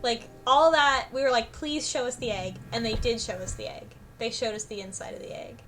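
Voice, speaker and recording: Higher-voiced woman co-hosting over music. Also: fairly even intonation, fairly quick, fairly bright tone. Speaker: podcast co-host. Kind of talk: casual group discussion recapping a game.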